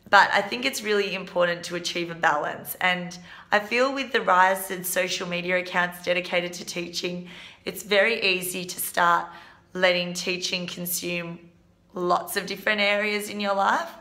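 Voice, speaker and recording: Croaky teacher lady in her 20s, lowish voice, chatty and earnest, giving tips. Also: pushed and bright. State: hoarse. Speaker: primary school teacher and vlog host. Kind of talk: casual advice vlog.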